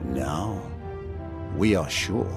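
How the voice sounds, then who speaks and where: An actor playing a grave, stern ancient warrior in a video game, speaking solemnly and formally. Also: male voice, low-pitched, lively intonation, extremely slow, music in the background, full character voice. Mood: grave, ominous. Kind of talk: dramatic video game acting.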